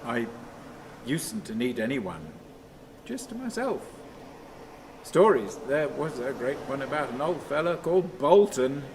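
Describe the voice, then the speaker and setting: An actor playing a haunted, restless man in a radio play monologue, halting and repetitive, moving between muttering and command. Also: mid-range voice. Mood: rambling, bitter reminiscence. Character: haunted, rambling man talking to his dead father, brooding and restless. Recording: clearly noisy, steady ambient sound.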